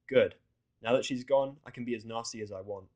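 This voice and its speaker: A man's voice: mid-range voice, brisk, darkish tone, muffled recording. A man reading a first-person story as scripted narration, in a blunt, sweary manner. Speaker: audiodrama narrator of fan fiction.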